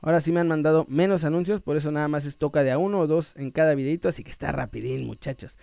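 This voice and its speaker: A Mexican man with a high voice hosts an online sports show in a casual, chatty style. He is playful and joking, drawing out words for emphasis. The sound is dry and close. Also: fast, gravelly, dark tone, dry recording. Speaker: host of a sports news video channel. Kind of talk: casual chat to viewers, reading out messages and shout-outs.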